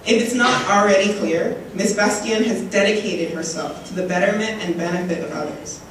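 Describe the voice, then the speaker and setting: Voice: mid-range voice, moderate pace. Formal introducer in a very echoey hall. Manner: formal, reads out a prepared introduction. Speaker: conference host introducing the speaker. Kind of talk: read introduction. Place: large conference hall. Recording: very echoey hall, distant mic, faint hiss.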